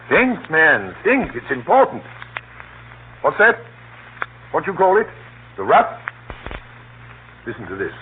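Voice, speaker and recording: An actor in a 1930s radio drama playing a senior British government official, brisk, clipped and commanding. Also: male voice, fairly high pitch. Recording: scratchy, tinny 1938 radio broadcast.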